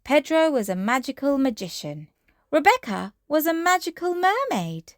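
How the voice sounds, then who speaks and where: A woman's voice: high-pitched, very animated, moderate pace, dry recording, clean studio sound. A woman reading a children's bedtime story aloud as narrator.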